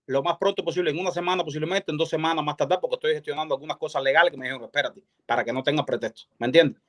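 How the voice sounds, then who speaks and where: Man, Cuban accent, higher-pitched, rapid-fire, insistent, repetitive, live political talk. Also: steady tone. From Cuba. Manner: insistent, repetitive, emphatic, peppered with check-in questions. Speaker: activist guest calling in to a live show.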